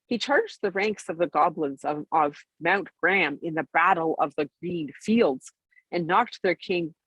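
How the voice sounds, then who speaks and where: Bedtime storyteller, female: lowish husky voice, animated intonation, expressive, recorded dry. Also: no echo, low-quality recording. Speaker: storybook reader.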